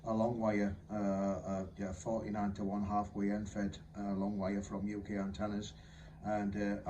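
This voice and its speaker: A man in his fifties chatting casually over amateur radio, explaining things in a halting way. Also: on the low side, noisy background. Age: 50s. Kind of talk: casual radio contact chat.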